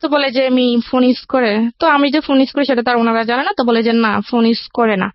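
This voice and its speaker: A woman's voice: fairly high pitch, steady tone, fast talker, no room sound. A young woman with a Bangladeshi accent telling their life story in casual, detailed conversation as a guest on a radio show. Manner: recounts in detail with reported dialogue. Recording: dull, lo-fi broadcast audio.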